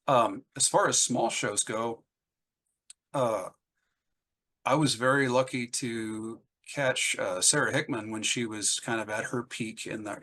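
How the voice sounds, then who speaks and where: A man's voice: fairly low pitch, bright, forceful tone, slight background noise. A middle-aged man chatting casually as an interview guest, telling anecdotes in an enthusiastic, rambling way. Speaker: interview guest, singer-songwriter.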